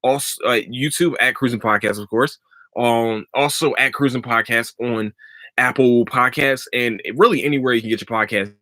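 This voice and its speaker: A man hosting a solo podcast, talking in a rambling, profane and excitable way and laughing often, a little stuffy from allergies. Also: mid-range voice, no echo. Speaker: podcast host. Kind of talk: solo casual podcast talk.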